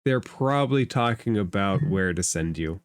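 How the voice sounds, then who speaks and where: A man narrating a tabletop role-playing game as game master, with a measured, halting delivery. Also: lowish voice, lively intonation, no echo.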